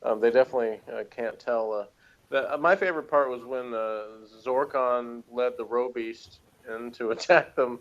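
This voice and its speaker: A man's voice: medium pitch, moderate pace, highs cut off. A man chatting casually as a guest reviewer, slangy and joking, often hesitant in his phrasing.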